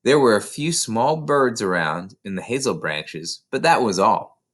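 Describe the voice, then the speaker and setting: A man's voice: fairly low pitch, lively intonation, measured pace. A man reading a children's novel aloud as scripted narration. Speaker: storybook reader.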